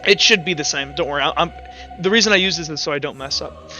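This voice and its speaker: A man running a tabletop roleplaying game as game master in a casual, halting way, thinking aloud as he narrates. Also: fairly high pitch, lo-fi sound, music in the background.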